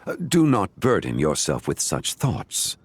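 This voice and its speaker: A man playing a calm, learned, courteous scholar of ancient lore in a video game, speaking in a formal, measured, eloquent way. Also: a bass voice, faint music behind, heavily acted. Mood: grave, reflective. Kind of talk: video game dialogue acting.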